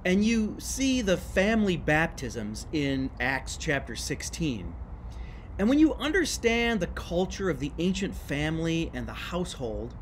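A man's voice: a little high, sing-song, unhurried, slight background noise, low-quality recording. A middle-aged man giving a scripted church history lesson to camera on a screened porch, speaking emphatically and gesturing with his hands.

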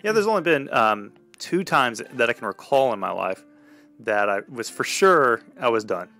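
A man in his thirties tells a personal story on a podcast in a conversational way. His voice is mid-pitched with a gravelly fry, and music plays softly behind him. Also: expressive, normal speed.

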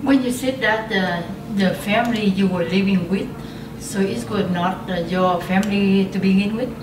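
A woman's voice: medium pitch, moderate pace, recorded from a distance, noisy background. A woman interviewer asking questions in a hesitant, careful way. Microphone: off camera, distant from mic. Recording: roomy, off-mic, music behind.

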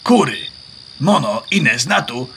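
Gravelly, eager barnyard animal. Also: male voice, mid-range voice, expressive, slow, a bit bright, slight background noise, full character voice. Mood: urging, eager. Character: eager, focused male barnyard animal ally. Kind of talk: children's audio play acting.

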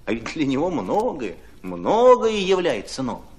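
An earnest Red Army commissar in a radio drama. His voice sits a little high and moves expressively up and down. He phrases things deliberately, with impassioned, rhetorical conviction. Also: wildly swooping pitch. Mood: earnest, thoughtful.

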